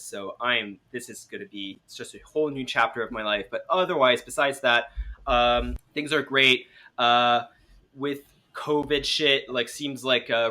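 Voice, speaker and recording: A man's voice: medium pitch, sharp tone. A young man from France with a French accent, chatting casually as a talk show guest. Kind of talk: casual chat.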